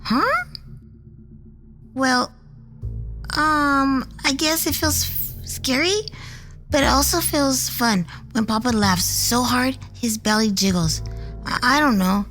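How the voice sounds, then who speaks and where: Woman voicing a high, innocent little girl. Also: takes it slowly, pauses often, fairly bright tone. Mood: shy, curious. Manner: hesitant, childlike. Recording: music under the voice.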